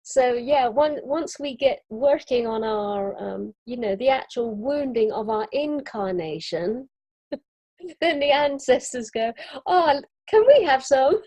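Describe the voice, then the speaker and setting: Husky, mellow woman talking earnestly. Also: high voice, slow, no room sound. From Europe. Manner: earnest, expressive, laughs often. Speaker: summit guest. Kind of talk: casual spiritual conversation.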